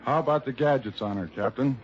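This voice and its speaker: An American man reports for 1940s wartime radio, reading scripted copy with dry, wry humor. His voice sits at a medium pitch. The old broadcast sound is dull and clearly noisy. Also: brisk. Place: on an airfield flight line.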